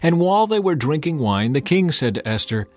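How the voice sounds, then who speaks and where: Expressive male scripture narrator. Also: on the high side, a little fast. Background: faint music.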